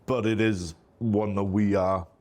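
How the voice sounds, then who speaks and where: A man's voice: lowish voice, steady tone, measured pace, darkish tone. A middle-aged man from Wales with a Welsh accent, a Welsh first-language speaker, talking casually and self-deprecatingly as an interview guest, often saying "you know". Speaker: MSP business owner, guest.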